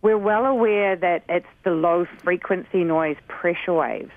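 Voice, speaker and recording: A man's voice: sits high, a little slow, no echo. A man from New Zealand with a New Zealand accent, speaking earnestly and with frustration as a community spokesperson calling in to a radio show. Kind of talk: earnest phone-in interview. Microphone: phone. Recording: tinny phone line.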